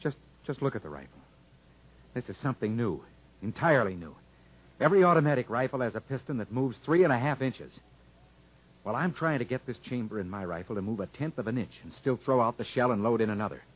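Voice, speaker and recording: An actor playing a stubborn, plain-spoken convict gunsmith with a rural American accent in a 1950s radio drama. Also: male voice, medium pitch, animated, quick pace, slightly rough. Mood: pleading, insistent. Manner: folksy, ungrammatical, earnest speech. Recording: muffled vintage 1950s radio recording.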